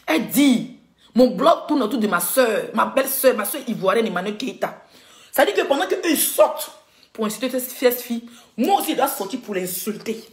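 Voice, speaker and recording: A woman with a cold delivers an indignant, insulting rant to her live-stream viewers, coughing now and then. Her voice sits a little high. The sound bounces around an echoey room. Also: expressive, moderate pace. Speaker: blogger doing a live stream.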